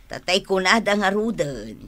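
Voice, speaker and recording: A woman playing a cheerful, reassuring younger relative in a radio drama, chatty and expressive, with an Ilocano accent. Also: medium pitch, a little slow.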